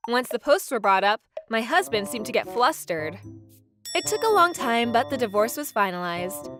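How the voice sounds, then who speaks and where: High-pitched, animated female manga dub narrator. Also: normal speed, over music. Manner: expressive, theatrical. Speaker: narrator and voice actor. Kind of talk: dramatized first-person manga story narration.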